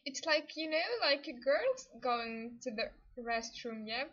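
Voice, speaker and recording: A woman's voice: fairly high pitch, measured pace, fairly bright tone. A woman with an Eastern European accent chatting casually to livestream viewers, giggly and hesitant in her English. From Ukraine. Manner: giggly, hesitant non-native English phrasing. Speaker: livestream host. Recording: dull lo-fi stream audio, music behind. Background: music.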